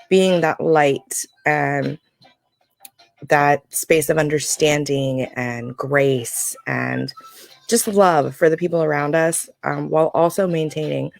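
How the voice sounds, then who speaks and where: A woman with a scratchy voice hosting a live stream, giving a casual spiritual card reading in an informal, halting, explanatory way. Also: sits low, vocal fry, no room sound. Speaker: live-stream oracle card reader and host. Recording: music playing during a live stream.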